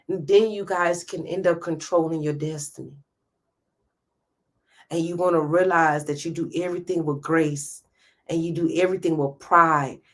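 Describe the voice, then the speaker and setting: A woman in her thirties hosting a live stream, giving a passionate motivational talk straight to her viewers. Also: lowish voice. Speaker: host.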